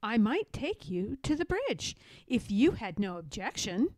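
A timid young male pig from a storybook, acted by a woman. The voice is high and lively, with an earnest, polite and worried manner. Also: unhurried, dry recording. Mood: hesitant, polite.

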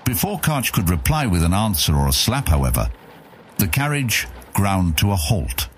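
A man narrating a fantasy audiobook in a measured storytelling manner. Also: deep voice, big pitch swings, slight background noise.

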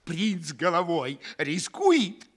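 An actor playing a brisk, wheedling male schemer who repeats himself insistently in a comic radio play. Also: a little high, sing-song, a bit bright, heavily acted. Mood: eager, scheming.

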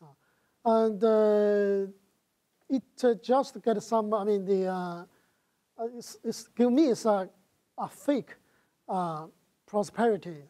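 A middle-aged man from China with a Chinese accent, answering audience questions in a lecture hall on a lapel mic, halting and full of "uh" fillers and restarts. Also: sits high, dark tone. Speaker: guest lecturer.

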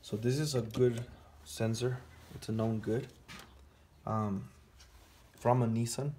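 A man's voice: medium pitch, steady tone, slow pace, warm tone, slight background noise. A man walking through a car sensor test in a hands-on repair tutorial, talking casually step by step while he works in a garage. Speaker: auto mechanic.

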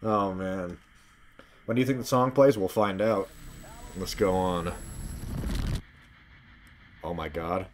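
Low, slow-talking male game streamer. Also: a little hiss or hum. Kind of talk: casual playthrough commentary.

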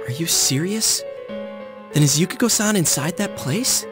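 A man's voice: on the high side, lively intonation, moderate pace, natural voice. A man voicing an earnest, casual teenage schoolboy with an American accent in an anime-style video game. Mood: concerned. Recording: game music and background crowd.

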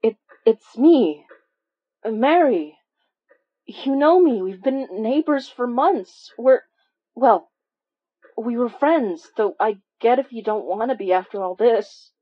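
A woman's slow, halting voice in a dry, slightly dulled recording, an actress in an audio drama. She plays it earnest and anxious, a protective investigator choosing her words. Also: on the high side, animated, dry recording, lo-fi sound. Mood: hesitant.

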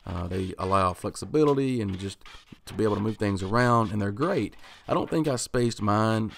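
A man in his thirties hosts a woodworking vlog, narrating in an easy, unhurried way with plenty of uhs and restarts. His voice sits a bit low. A faint hiss runs under the voice-over. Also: vocal fry. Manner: relaxed, thinking aloud with frequent "uh" and restarts. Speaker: woodworking channel host. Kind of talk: casual voice-over explaining a project.

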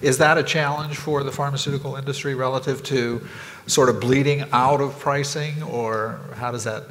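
A man moderating a conference panel, halting and hesitant with frequent fillers. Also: mid-range voice, fairly even intonation, a bit bright, in a large hall. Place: conference ballroom stage.

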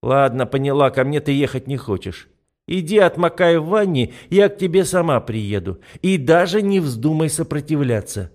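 A man's voice: on the high side, expressive, normal speed, a little mellow, voice pushed up, lightly acted. A man voicing a brash, bossy young woman who teases her best friend in an audiobook. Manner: categorical, pushy, mocking.

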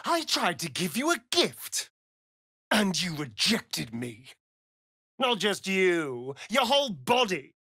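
A man's voice: sits high, wildly swooping pitch, slow, bright, forceful tone, breathy edge, no echo, studio quality, exaggerated voice. A male actor voicing a sly, vain vampire rogue in a fantasy video game, with an arch, flamboyant, sardonic drawl. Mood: bitter, scornful.

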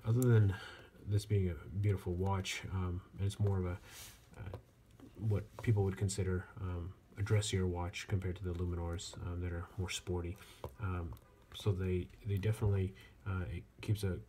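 Low, flat-toned man reviewing casually. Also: normal speed, few pauses. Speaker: watch reviewer. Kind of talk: casual hands-on product review. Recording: clear steady background noise.

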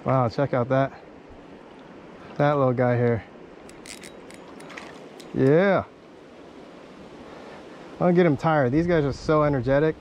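A man filming himself fishing on a river bank with a chest-mounted camera, excitedly calling out to viewers as he fights and lands fish. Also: mid-range voice, slow pace, pauses often, dull audio, slight background noise. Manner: exclaims often, talks to viewers. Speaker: fishing vlogger. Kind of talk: excited casual commentary while fishing. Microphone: chest or head mounted action camera.

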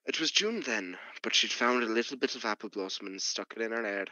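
A man's voice: lowish voice, animated, a little fast, pushed and bright, no room sound, lo-fi sound. A man playing an old country man who tells a tragic memory in a plain rustic way, in radio drama style. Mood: sorrowful recollection. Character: old country man recalling a girl's drowning. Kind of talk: 1940s radio drama acting.

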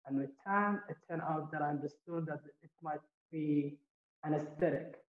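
A man's voice: fairly high pitch, normal speed. A young man from Somaliland, a visual artist, talking through his work on a video call with frequent hesitations. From Somaliland, grew up partly in Saudi Arabia. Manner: hesitant, many fillers and restarts. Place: small room indoors. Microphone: laptop video call. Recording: roomy, dull video-call audio.

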